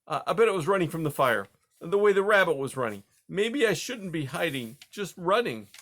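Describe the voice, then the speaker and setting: High squeaky little boy mouse, jittery, stammers, yelps questions, storybook reading. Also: very animated, fairly quick, sharp tone, full character voice. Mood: anxious. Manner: breathless, stammering, eager questions. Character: frightened excitable young boy mouse.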